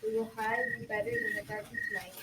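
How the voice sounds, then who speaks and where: A young female amateur playing a proud, mysterious poor old woman in a radio play, with halting, repetitive delivery. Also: medium pitch, little pitch movement, close to an everyday voice. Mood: solemn warning. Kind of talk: amateur school radio drama acting. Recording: distant mic, loud background noise.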